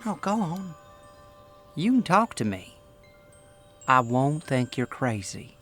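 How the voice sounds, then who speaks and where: An actor playing a protective, plain-spoken farmhand in an audio drama, folksy and halting as he reassures. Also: fairly high pitch, sing-song, fairly bright tone, soft background music, exaggerated voice. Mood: reassuring, hesitant.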